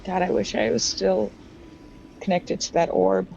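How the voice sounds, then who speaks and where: A woman's voice: medium pitch, animated, measured pace, gravelly, dark tone. A woman chatting casually as a player in a tabletop game. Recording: dull muffled mic, faint noise.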